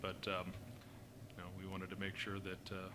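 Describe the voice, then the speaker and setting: Flat-voiced man at a council meeting. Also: sits low, normal speed. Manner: steady, explanatory, frequent fillers and restarts. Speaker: fire service official. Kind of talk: answering questions at a council meeting. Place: city council chamber. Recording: noisy meeting room recording.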